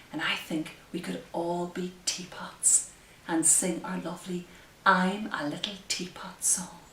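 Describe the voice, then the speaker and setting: Echoey, unhurried woman telling children's stories. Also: fairly low pitch, slight background noise. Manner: gentle, addressing small children with questions. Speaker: community librarian hosting children's story time. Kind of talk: children's storytelling with songs and rhymes. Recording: roomy echo, distant microphone.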